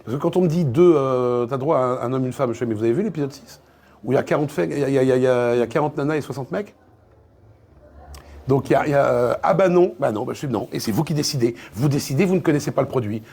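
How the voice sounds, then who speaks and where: A man in a casual interview as a voice actor and dubbing director, telling anecdotes haltingly and repeating words as he goes. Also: mid-range voice, vocal fry, darkish tone, slightly rough. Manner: hesitant, repeats words, acts out quoted exchanges.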